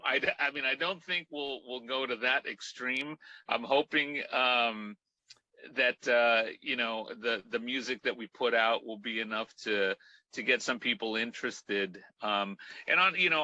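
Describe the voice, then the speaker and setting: A man's voice: medium pitch, unhurried, sharp tone, no echo, dull audio. A man from Mexico, a Spanish speaker, chatting loosely as an interview guest with many fillers and restarts. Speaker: guest musician. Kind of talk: casual interview chat.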